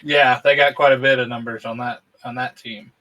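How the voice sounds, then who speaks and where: Bright-voiced joking man. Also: mid-range voice, steady tone, brisk, dull audio. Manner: joking, swearing, thinks aloud. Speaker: co-host. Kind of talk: casual chat among friends.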